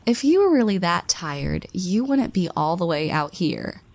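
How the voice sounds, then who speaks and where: A woman's voice: fairly low pitch, sing-song, measured pace, a little mellow, dry recording, full character voice. A woman voicing a confident young woman who teases her friend, with playful half-whispered asides. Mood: teasing. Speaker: voice actress. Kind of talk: scripted audio roleplay.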